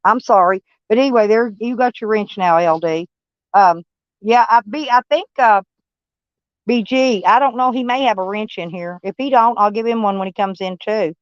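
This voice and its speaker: A woman's voice: mid-range voice, normal speed, no echo, lo-fi sound. A woman with a Texas accent, originally from Kentucky, hosting a live stream and chatting with viewers in a rambling, folksy, blunt way, with some swearing. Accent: Texan. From Kentucky, USA. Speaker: livestream host.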